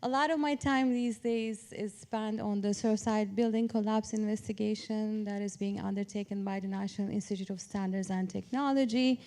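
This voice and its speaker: Smooth, mellow-voiced woman academic. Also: medium pitch, fairly even intonation, moderate pace, few pauses. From Turkey. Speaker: academic panelist, professor of public policy. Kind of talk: informal academic panel presentation.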